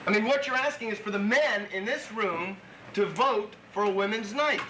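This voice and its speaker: A man's voice: sits high, moderate pace, pushed and bright. A young man arguing bluntly and profanely at a heated meeting, rambling with restarts. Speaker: co-op member at a meeting. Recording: echoey room, noisy old tape.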